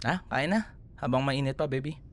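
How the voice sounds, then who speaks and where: A man's voice: mid-range voice, normal speed, slight background noise, close to an everyday voice. A man with a Filipino accent playing an affectionate, teasing, protective young boyfriend in an intimate ASMR roleplay, speaking in a tender, soothing way. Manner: tender, playful, soothing, softly murmured.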